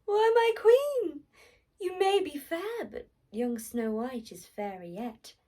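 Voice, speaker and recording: A woman voicing a truth-telling magic mirror from a storybook, in a measured, formal manner. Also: high voice, animated, warm tone, recorded from a distance, pitched above the performer's own voice. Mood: lofty.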